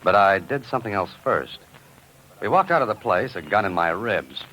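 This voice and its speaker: A man's voice: on the low side, moderate pace. An actor playing a cool-headed, wry amateur sleuth who narrates his own adventure in a vintage radio drama. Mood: tense narration. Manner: wry, deadpan, unruffled. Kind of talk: 1940s-50s radio detective acting and narration. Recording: noisy vintage radio recording.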